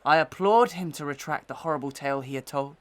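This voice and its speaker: An actor playing a desperate, wronged gentleman accuser in a Victorian melodrama, earnest, impassioned and declamatory. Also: fairly high pitch, fast, natural voice. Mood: anguished, impassioned. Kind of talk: Victorian melodrama audio play acting.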